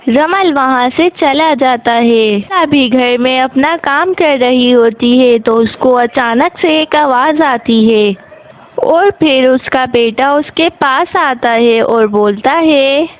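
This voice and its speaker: A woman's voice: high-pitched, slow, lo-fi sound. A woman storyteller reading a scripted moral tale in simple, plain words.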